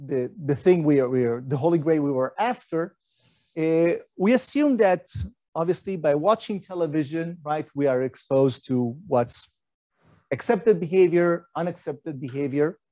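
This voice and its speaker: Lecturing man, mellow voice. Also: a little high, normal speed, no room sound. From Argentina. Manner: conversational, asks rhetorical questions, frequent fillers. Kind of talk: academic lecture talk.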